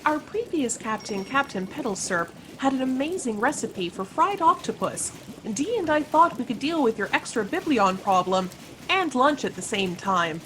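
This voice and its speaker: Brief: literal, proud female ship computer played by an actress, highish voice, measured and playful, slightly noisy line. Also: sing-song, fairly bright tone. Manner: formal, measured, precise. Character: talking spaceship Phoenix, precise, literal, proud, playful. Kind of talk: sci-fi satire audio drama acting. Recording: noisy home video-call audio.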